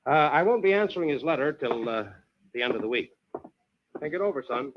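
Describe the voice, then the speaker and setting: Fatherly adventurer, male actor: animated, genial, persuasive; muffled vintage radio drama. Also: on the high side, brisk, background noise, close to an everyday voice. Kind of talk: old-time radio adventure acting.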